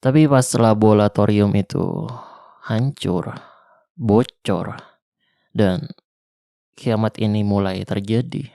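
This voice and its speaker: Guy doing a flirty ex soldier surviving zombies, jakarta slang, slow gravelly talk, dry close mic. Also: lowish voice, no room sound. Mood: gentle teasing. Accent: Jakarta colloquial. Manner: soft, teasing, reassuring. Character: tough male ex-special-forces zombie survivor, protective, flirty. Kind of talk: ASMR roleplay.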